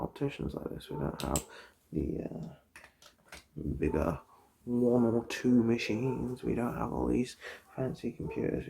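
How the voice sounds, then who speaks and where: A hushed ASMR voice plays an optician, speaking very slowly and breathily. The murmur is heavy with vocal fry and often slips into a whisper. It is hesitant and reassuring, with faint noise behind it. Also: medium pitch. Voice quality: soft, breathy, drifting into whisper. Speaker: ASMR performer playing an optician giving an eye exam. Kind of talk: ASMR roleplay, soft-spoken and whispered.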